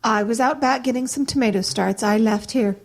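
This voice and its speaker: A woman playing a plainspoken, folksy aunt in an audio drama, kindly but sharp-tongued. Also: on the high side, vocal fry. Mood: brisk. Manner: folksy, brisk, matter-of-fact.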